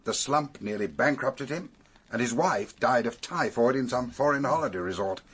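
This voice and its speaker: An actor playing an authoritative, wry police superintendent in a British radio drama, setting out facts in an orderly, emphatic way with a British accent. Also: male voice, medium pitch, lively intonation, fairly bright tone, breathy edge. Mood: wry, explanatory. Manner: measured, emphatic, expository.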